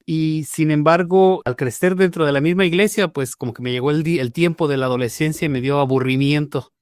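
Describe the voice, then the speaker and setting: A man's voice: on the high side, fairly even intonation, brisk, clear, resonant tone, dry recording, studio quality. A man from Mexico, a pastor telling his conversion story in a podcast interview, speaking with frequent "eh" fillers and restarts. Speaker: pastor, guest.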